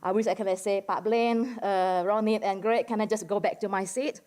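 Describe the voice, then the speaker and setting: A woman from Indonesia with an Indonesian accent gives an informal academic presentation to a general audience, halting often and peppering it with casual filler words. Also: medium pitch, steady tone, a little mellow. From Medan, Indonesia. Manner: conversational and halting, peppered with "like" and "you know". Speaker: academic discussant at a conference.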